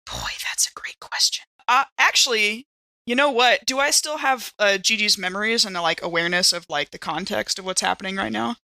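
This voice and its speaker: A woman's voice: mid-range voice, a little fast, pushed and bright, dry recording, clean studio sound. A woman chatting casually as a player improvising in a tabletop role-playing game.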